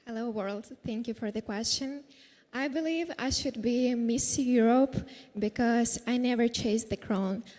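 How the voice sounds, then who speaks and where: Woman nervously giving a stage answer, mellow voice, lots of hall reverb. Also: on the high side, a little slow. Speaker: pageant contestant.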